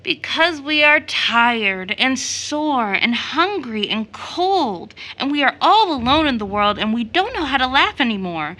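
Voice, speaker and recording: A bright, plaintive little orphan boy. He sounds weary and doubtful, a wondering child from a storybook. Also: high-pitched, sing-song, a little slow, few pauses, heavily acted.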